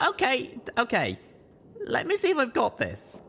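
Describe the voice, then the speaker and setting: A man's voice: very high-pitched, huge pitch swings, a little slow, pushed and bright, slight background noise, voice pushed up, full character voice. A man voicing an earnest, exasperated young female pony in an audiobook, with an emphatic, incredulous manner. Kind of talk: acted audiobook dialogue.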